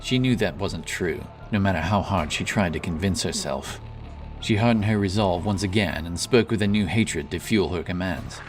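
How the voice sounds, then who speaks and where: Male narrator, very low voice. Solemn, dramatic fantasy storytelling over soft music. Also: normal speed.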